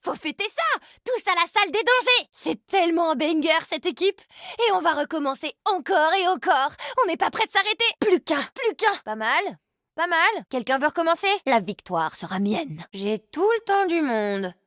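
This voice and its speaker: A woman's voice: very high voice, sing-song, normal speed, a bit bright, no echo, studio quality, full character voice. A woman voicing a cheeky young immortal agent in a video game, playful and sarcastic with casual, slangy delivery. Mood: excited, hyped, then mock-grandiose. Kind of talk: video game character voice lines.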